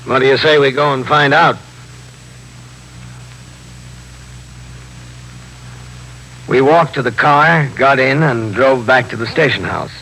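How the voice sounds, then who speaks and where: Gravelly, stern police captain. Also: male voice, mid-range voice, normal speed, pushed and bright. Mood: businesslike. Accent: American. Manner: stern, plain-spoken, clipped. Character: weary, firm police captain of a New York precinct. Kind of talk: 1950s radio drama acting and first-person narration. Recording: noisy 1950s radio broadcast recording.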